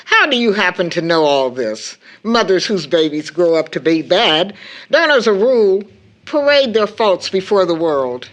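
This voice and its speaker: An actress playing a fond, tired, gently chiding mother in an audio drama, with a measured way of talking. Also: on the low side, big pitch swings. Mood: fond, weary. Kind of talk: stage-play audio drama acting.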